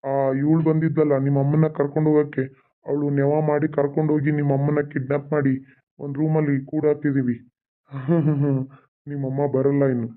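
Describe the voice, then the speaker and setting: Man doing a scheming village villain, sneering, menacing, somewhat high, thin phone-like sound. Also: little pitch movement, a little fast, lightly acted. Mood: threatening.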